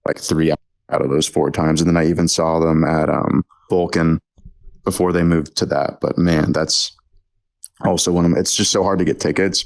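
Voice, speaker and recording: A man's voice: extremely low pitch, vocal fry. A young man, talking as a podcast guest in a hesitant, casual way with many ums and restarts. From Texas. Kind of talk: casual interview chat.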